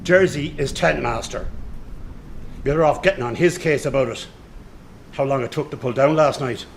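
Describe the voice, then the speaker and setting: A man's voice: mid-range voice, moderate pace, vocal fry, a little hiss or hum, natural voice. A man playing a sly, insolent circus hand in a radio drama, with a mocking, smug way of talking. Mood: defiant. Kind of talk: naturalistic radio drama acting.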